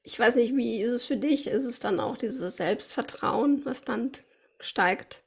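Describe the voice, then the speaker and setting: A woman's voice: a little high, a little slow, vocal fry, slightly rough. A woman hosting a podcast who often laughs and encourages her guest. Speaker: podcast host, clown. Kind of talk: casual interview chat.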